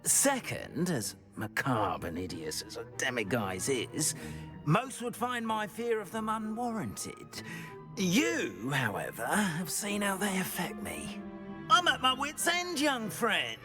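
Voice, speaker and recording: An anxious, theatrical caretaker in a fantasy game. His high voice lurches dramatically up and down as he fusses and hesitates through wordy, old-fashioned phrases. Music plays clearly behind him. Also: heavily acted. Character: anxious, flustered, theatrical male school caretaker. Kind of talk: video game character dialogue.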